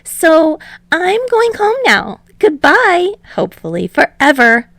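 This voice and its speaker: A woman's voice: sits high, very animated, takes it slowly, vocal fry, fairly bright tone, no room sound, voice pushed up, full character voice. A woman voicing a frazzled, snarky teenage boy in an audiobook, whiny, indignant and sarcastic. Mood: defiant, sarcastic. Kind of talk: audiobook character voice for fan fiction.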